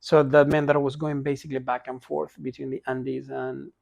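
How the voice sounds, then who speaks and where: Gravelly Venezuelan man, dry mic. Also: mid-range voice, little pitch movement, fairly quick, a little mellow, no echo. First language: Spanish. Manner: rambling, many restarts and fillers, laughs easily. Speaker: podcast guest. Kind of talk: casual interview talk.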